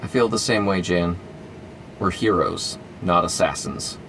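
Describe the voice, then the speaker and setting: A man voices an earnest, hesitant scientist hero with a low voice. He sounds somewhat distant from the mic. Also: fairly even intonation, a little slow, background noise. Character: mild-mannered male scientist hero.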